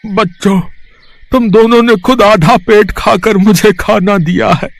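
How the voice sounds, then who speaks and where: A man playing a mysterious, kindly beggar sage who speaks in a knowing, deliberate, benevolent way. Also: high voice, fairly even intonation, gravelly, no echo. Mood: grateful, knowing.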